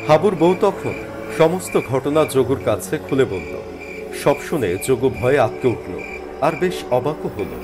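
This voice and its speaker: A man narrates a scripted horror story with drama. His mid-pitched voice rises and falls in a lively way. Music plays clearly behind him. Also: moderate pace.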